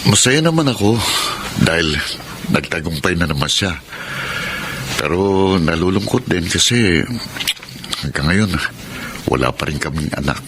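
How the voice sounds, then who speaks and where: A Filipino man playing a patient, wistful young husband, hesitant and gentle, in a radio drama. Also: low-pitched, very animated, a little slow, pauses often, exaggerated voice. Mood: wistful, resigned. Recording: noisy radio drama recording.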